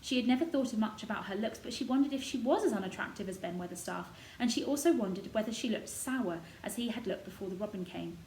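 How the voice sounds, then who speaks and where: A woman's voice: mid-range voice, fast talker, few pauses, darkish tone. Woman reading a storybook, echoey room. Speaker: storybook reader. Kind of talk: scripted narration of a children's novel. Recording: roomy echo, noticeable background noise.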